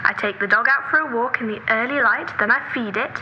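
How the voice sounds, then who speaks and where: Very bright-voiced, nagging sister, acted by a woman. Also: a little high, fast, muffled recording, a little hiss or hum. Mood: exasperated. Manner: persistent, pressing, lecturing. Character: earnest, nagging, orderly sister, exasperated but caring. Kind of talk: naturalistic audio drama dialogue.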